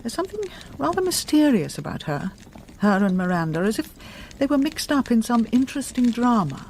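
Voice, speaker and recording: An actress playing an inquisitive, opinionated middle-aged friend of a detective in a radio drama, with a brisk, wry English manner and accent. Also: female voice, fairly high pitch, very animated, darkish tone, slight background noise.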